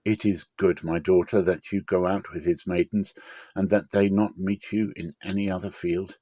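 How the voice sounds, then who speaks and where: A man's voice: low-pitched, little pitch movement, moderate pace, warm tone, dry recording. A caring older mother-in-law, acted by a man, gives guidance in an earnest, motherly manner. It is scripture drama storytelling, with a close, dry sound. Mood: motherly, instructing.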